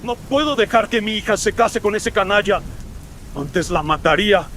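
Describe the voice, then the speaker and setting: A man playing a desperate colonial gentleman father in a horror radio drama, theatrical and anguished. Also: high-pitched, normal speed, a little hiss or hum, voice pushed up. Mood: anguished, despairing.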